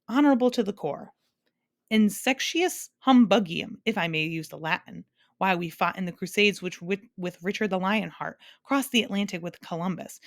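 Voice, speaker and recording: A woman's voice: medium pitch, no echo, studio quality, close to an everyday voice. A woman voicing a boastful, blustering male humbug bug from a children's storybook, grand and indignant.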